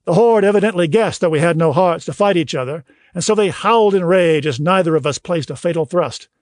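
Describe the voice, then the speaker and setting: A man reading scripted audiobook narration in a measured, literary storytelling manner. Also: sits high, no room sound, studio quality.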